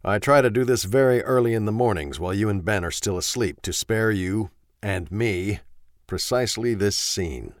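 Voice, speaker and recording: Man doing a pompous husband, low voice, curt, condescending, dry close sound. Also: lively intonation, normal speed, dry recording, natural voice. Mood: defensive. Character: defensive pompous middle-aged husband.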